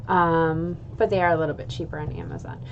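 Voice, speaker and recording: A woman's voice: low voice, fairly even intonation, a little fast, highs cut off, slight background noise. A woman who writes children's books, chatting casually with fillers and then reading a chapter aloud. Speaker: children's book author. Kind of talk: casual chat and read-aloud.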